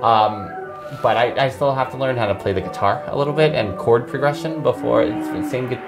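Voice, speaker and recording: A man's voice: medium pitch, a little fast, music in the background. A man in his forties hosting a podcast, chatting casually and rambling, often breaking off mid-sentence. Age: 40s. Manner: rambling, self-interrupting, laughs at himself. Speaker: podcast host. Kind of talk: casual chat.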